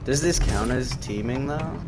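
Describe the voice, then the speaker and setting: A man's voice: mid-range voice, a little slow. A young man doing casual, joking video game commentary as a streamer, informal and prone to repeating himself. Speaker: gaming streamer. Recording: loud music and game sounds behind. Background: loud music, vehicle game noise.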